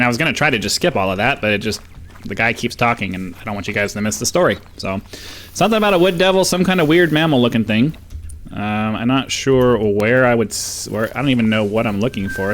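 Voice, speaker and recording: A man hosts a gaming video in a medium-pitched voice. His commentary is casual and informal, and he rambles along in an offhand way. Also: a bit bright.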